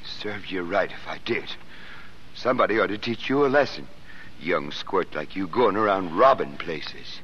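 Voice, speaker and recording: Deep, stern older American man. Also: animated, moderate pace, a bit bright, husky, heavily acted. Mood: scolding. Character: stern, upright older Bible-reading watchman, secretly soft-hearted. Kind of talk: 1930s-40s American radio drama acting. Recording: muffled, noisy vintage radio recording.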